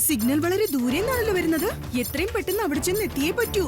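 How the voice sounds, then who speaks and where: A woman's voice: extremely high pitch, sing-song, fast, over music. A woman voicing a brave young boy superhero in a cartoon dub, confident and commanding. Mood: puzzled.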